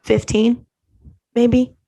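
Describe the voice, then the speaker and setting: A woman's voice: a little high, level tone, drawn-out words, creaky, mellow, highs cut off. A woman in her twenties with an American accent, chatting casually as a podcast host and bickering playfully. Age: 20s.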